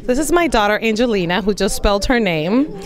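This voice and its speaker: A woman's voice: medium pitch, animated, brisk. Woman, 40s, life coach, earnest, lots of ums, handheld mic, indoor event room. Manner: earnest, promotional, many fillers. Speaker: life and business coach, author. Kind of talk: event interview testimonial. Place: indoor event room against a plain wall.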